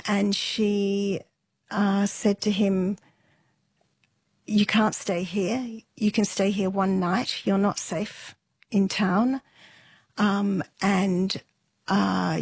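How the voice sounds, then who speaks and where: A middle-aged woman, telling stories conversationally and matter-of-factly, with thoughtful pauses. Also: mid-range voice, no echo. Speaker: interview guest. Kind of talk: conversational family storytelling.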